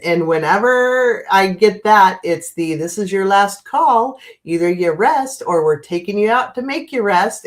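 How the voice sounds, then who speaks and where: A woman in her fifties hosting a casual livestream, chatty and greeting viewers by name, with a stuffy, congested nose from a cold. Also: fairly low pitch. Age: 50s. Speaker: livestream host.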